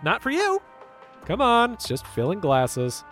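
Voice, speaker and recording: A man's voice: high-pitched, wildly swooping pitch, a little slow, fairly bright tone, faint music behind, heavily acted. A man playing a bossy, smug restaurant colleague in an audio drama, condescending and dismissive.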